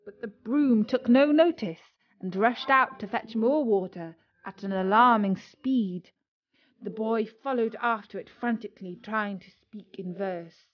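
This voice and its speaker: A woman's voice: a little high, a little slow, no room sound, lo-fi sound. A woman narrating a scripted story as a storyteller.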